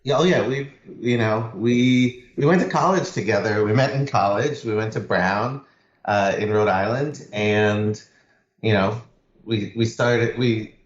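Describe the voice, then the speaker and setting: A man's voice: on the low side, a little slow. A man from Los Angeles, a screenwriter guest on a podcast, easygoing and repeating words as he thinks. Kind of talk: casual interview chat. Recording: echoey remote call, dull.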